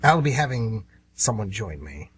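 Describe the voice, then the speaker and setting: A man's voice: on the low side, sing-song, unhurried. A man playing the Devil as an anxious, aggrieved, scheming businessman in a comedic amateur radio play, sardonic and always bargaining. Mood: wry. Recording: noisy amateur radio recording with hum.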